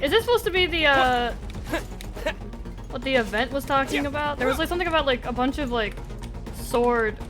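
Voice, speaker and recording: High-voiced woman streaming a game. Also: expressive, a little fast, pauses often. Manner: swearing, sarcastic asides. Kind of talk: casual livestream commentary, reading dialogue aloud. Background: video game music.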